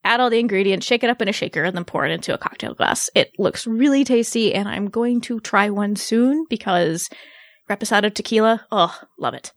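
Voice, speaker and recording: A woman's voice: mid-range voice, expressive, a little fast, no echo, clean studio sound. A woman hosting a podcast in a casual, chatty and teasing way. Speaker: podcast host.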